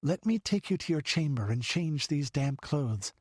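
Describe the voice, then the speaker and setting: A man's voice: on the high side, expressive, moderate pace, a little mellow, slightly rough, dry recording, clean studio sound, voice pushed up. A man voicing an anxious young noblewoman who speaks tenderly to a child. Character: anxious noble young lady, gentle protector.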